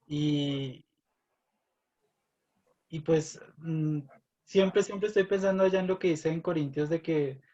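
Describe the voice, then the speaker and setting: A man's voice: on the high side, takes it slowly, long pauses, warm tone, lo-fi sound. A man sharing thoughts in a casual Bible discussion, hesitant and full of fillers. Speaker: Bible study participant.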